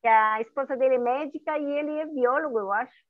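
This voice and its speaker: A woman's voice: high-pitched, quick pace, no room sound. A woman from Uruguay chatting casually on a video call, rambling and laughing at herself. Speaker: online class participant. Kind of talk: casual chat. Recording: dry, tinny video-call line.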